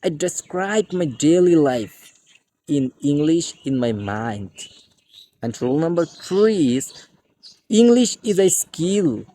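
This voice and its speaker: Male English teacher from Bangladesh, Bengali accent, unhurried and mellow, keeps calling viewers dear friends. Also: fairly high pitch, big pitch swings. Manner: repeated "my dear friends" addresses. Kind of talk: scripted English lesson to camera.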